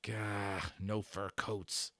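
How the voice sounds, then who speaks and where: A man's voice: fairly low pitch, level tone, extremely slow, pushed and bright, dry recording, studio quality, heavily acted. A man voicing a thuggish young skinhead burglar in a storybook reading, with coarse slangy speech and a greedy, panicky temper. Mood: furtive greed. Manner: coarse slangy speech, howling when hurt.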